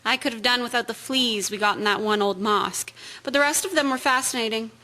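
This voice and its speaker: An actress playing a wry, practical army nurse in a wartime radio drama. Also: female voice, a little high, fairly quick, bright, forceful tone.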